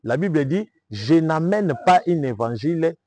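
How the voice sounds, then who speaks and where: A man's voice: a little high, expressive, moderate pace, a little mellow. A man with a Congolese French accent from Kinshasa, a self-styled prophet answering interview questions in a preaching manner, emphatic and repetitive, often asking "vous comprenez?".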